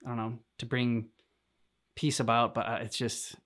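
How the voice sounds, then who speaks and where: A man with a mid-range voice talks casually as co-host of a reaction channel. He thinks out loud, halting between short fragments as he works through his opinion. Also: mid-range voice, little pitch movement. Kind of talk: casual discussion of a video game story.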